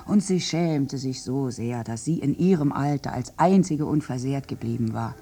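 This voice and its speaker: A woman's voice: rumbling low, moderate pace, warm tone, slight background noise. An actress playing a bitter, wounded village woman who survived a massacre, in a theatrical, morbidly wry radio drama monologue.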